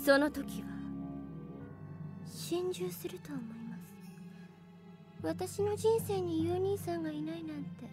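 A woman playing a sweetly polite, obsessive and possessive teenage girl in an anime audio drama, with calm intensity. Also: very high voice, a little slow, pauses often. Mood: subdued, devoted. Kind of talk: Japanese anime drama CD acting. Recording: music playing behind the voice. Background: background music.

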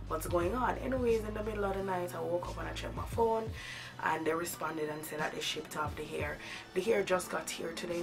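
A woman in her thirties with a hoarse voice from a cold, chatting casually to camera in her bedroom about a wig she ordered. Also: deep voice. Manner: chatty, animated, gestures a lot with her hands. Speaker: beauty vlogger. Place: bedroom at home, indoors.

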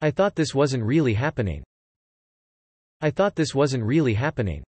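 A man's voice: mid-range voice, sing-song, moderate pace, darkish tone, husky, no echo. A man narrating an English lesson, reading example sentences and definitions aloud and repeating each one evenly.

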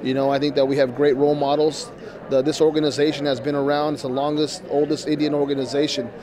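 Earnest young man, flat tone, slightly high, crowd chatter behind. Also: quick pace. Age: young adult. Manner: earnest, repeats words. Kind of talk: interview answers.

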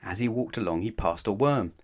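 A man reading a children's storybook aloud expressively, with dramatic, deliberate emphasis. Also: lowish voice, animated. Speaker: storybook narrator.

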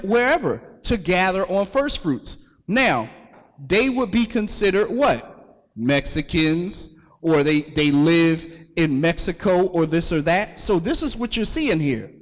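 High-voiced preacher in an echoing hall. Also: male voice. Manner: calls for readings and repeats the verses back to the congregation. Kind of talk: sermon teaching from scripture. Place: large hall at a religious gathering. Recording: long echo of a large hall.

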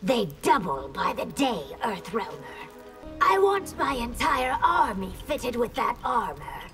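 An actress playing a haughty warrior empress in a video game, regal, deliberate and commanding. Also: female voice, a little high, very animated, music in the background. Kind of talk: dramatic video game dialogue.

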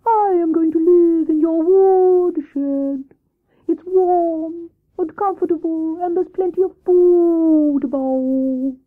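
A woman voicing a cool, bossy male owl in a children's story, with a drawn-out, commanding delivery. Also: very high voice, fairly even intonation, narrow, tinny audio, voice pushed up. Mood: cool, haughty. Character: imperious, cool old-fashioned male owl, drawling and bossy.

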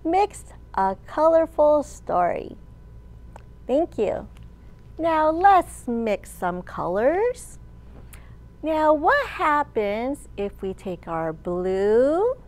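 Woman doing kids storytime, really slow n high voice, sing-songy like a librarian. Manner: animated, sing-song, addressing young children. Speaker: children's librarian hosting storytime. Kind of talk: children's storytime read-aloud and teaching. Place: large open library room, indoors.

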